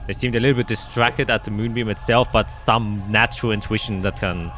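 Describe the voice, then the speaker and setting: A man's voice: lowish voice, fairly even intonation, brisk. A man acting as game master, narrating and refereeing a tabletop roleplaying game. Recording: loud music under the voice. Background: loud background music.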